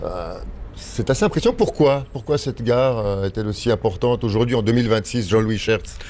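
Playful radio host. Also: male voice, on the low side, animated, brisk, darkish tone, a little hiss or hum. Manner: playful, slightly formal phrasing. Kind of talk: radio show hosting.

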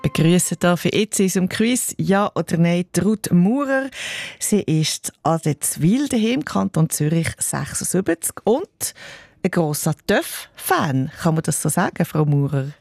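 A woman's voice: on the low side, lively intonation, a little slow, gravelly, a little mellow, no room sound. A woman with a Swiss German accent hosting a radio quiz, chatty and upbeat as she asks her guest questions.

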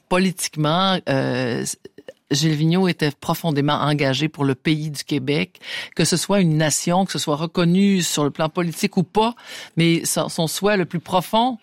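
Earnest Québécois man, fairly high voice. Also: creaky, dry recording, studio quality. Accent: Québécois French. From Quebec. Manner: earnest, repeats words while searching for them. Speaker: guest, preface writer. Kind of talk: conversational interview.

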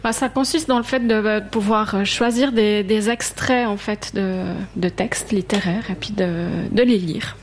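A woman's voice: mid-range voice, unhurried, few pauses. A woman, a library guest on a radio show, plain-spoken with some hesitations. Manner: hesitant, plain-spoken, reads prepared text. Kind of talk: radio interview. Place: radio studio.

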